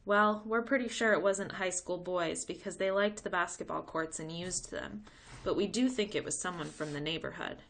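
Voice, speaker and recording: A woman's voice: fairly low pitch, normal speed, a bit bright. Woman reading as an easygoing policeman dad. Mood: matter-of-fact. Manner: casual, explanatory. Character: easygoing, matter-of-fact father, a policeman. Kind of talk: read-aloud children's storybook.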